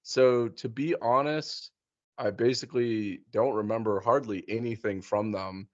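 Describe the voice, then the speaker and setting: A man's voice: fairly low pitch, fairly even intonation, measured pace, no room sound. Casual man chatting, dull lo-fi audio. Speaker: podcast host. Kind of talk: casual chat.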